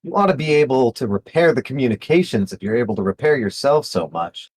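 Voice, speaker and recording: A man's voice: medium pitch, animated, fast talker, breathy edge, dry recording. A man voicing his tabletop adventurer, who complains bluntly and snaps back in clipped retorts. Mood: indignant. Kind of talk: in-character tabletop roleplay.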